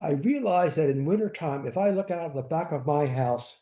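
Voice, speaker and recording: Older male panelist, mellow higher voice, halting storyteller, roomy echo. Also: animated. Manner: halting, restarts, Yiddish words. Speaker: synagogue founder on a panel. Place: community hall panel. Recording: echoey room.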